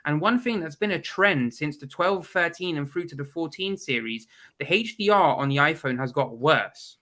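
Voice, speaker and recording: A man guesting on a video call chat, his voice bright and forward and sitting a bit high, though a head cold leaves it stuffy. He talks bluntly and with plenty of opinion. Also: expressive, moderate pace. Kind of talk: casual chat.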